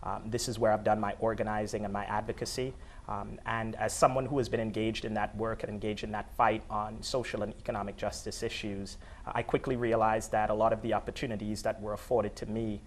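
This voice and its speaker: A man's voice: lowish voice, level tone, a little fast. A man from Trinidad and Tobago, a political candidate, answering interview questions steadily with many fillers.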